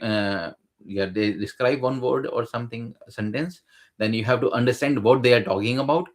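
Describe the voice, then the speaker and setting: Man, lower register, hesitant conversational explainer. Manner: hesitant, many fillers and restarts. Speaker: guest sharing exam experience.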